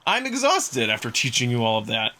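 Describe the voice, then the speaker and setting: A man acts out an easygoing young human man in a visual novel. His voice is mid-pitched, bright and expressive, with a casual, self-deprecating edge. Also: brisk, full character voice. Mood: tired. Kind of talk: visual novel character acting.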